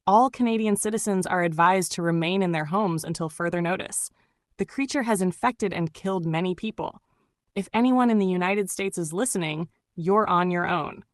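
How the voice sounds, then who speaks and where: A woman's voice: lowish voice, normal speed, dry recording, studio quality. A woman reading a scripted emergency alert, giving urgent, repetitive warnings. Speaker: emergency broadcaster.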